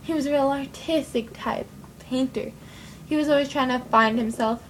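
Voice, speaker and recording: A woman's voice: high-pitched, moderate pace, a little hiss or hum, natural voice. A woman playing a scorned lover who killed her unfaithful partner, speaking with dry irony. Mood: ironic.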